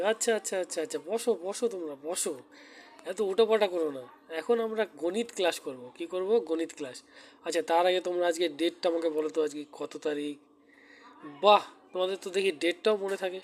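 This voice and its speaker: A man with a somewhat high voice gives a primary school lesson. He talks directly to the children, puts simple questions to them and repeats key words as he explains. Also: normal speed. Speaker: maths teacher giving a demo class.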